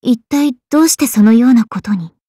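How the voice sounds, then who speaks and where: Woman as a mellow, husky, dutiful valkyrie. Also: fairly high pitch, a little fast, no room sound, clean studio sound, lightly acted. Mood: puzzled. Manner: composed, polite, military formality. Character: calm, dutiful, formal valkyrie maiden. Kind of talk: anime mobile game voice acting.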